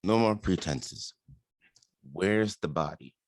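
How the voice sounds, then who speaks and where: A man's voice: low-pitched, slow pace, no room sound. An actor playing a threatening, demanding gunman in a dramatic table read. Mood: menacing, impatient. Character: menacing gunman holding women hostage.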